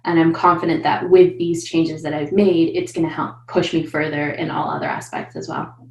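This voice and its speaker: A woman in her thirties, a coaching client, talking in an animated, upbeat way over a video call from a room at home. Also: low-pitched, moderate pace, roomy sound, rough recording. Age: 30s. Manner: animated, upbeat, says she is loud. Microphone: video call webcam.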